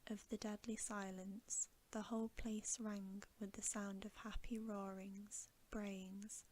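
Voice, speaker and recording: Female ASMR reader for bedtime stories: hushed, gentle whisper, very slow pacing, even intonation. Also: mid-range voice. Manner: soft, gentle, hushed and unhurried.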